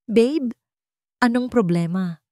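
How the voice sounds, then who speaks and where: A woman's voice: on the high side, slow pace, a little mellow, no room sound, clean studio sound, pitched above the performer's own voice. A woman voicing a weak, deceitful husband in an audiobook drama, hesitant, nervous and pleading. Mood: anxious.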